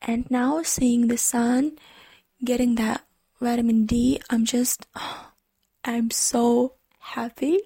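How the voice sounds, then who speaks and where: Slow, gravelly-voiced Ukrainian woman. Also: fairly high pitch, fairly even intonation, no echo. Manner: hesitant, many restarts, gentle and earnest. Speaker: podcast host. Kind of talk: casual solo podcast talk. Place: her room at home.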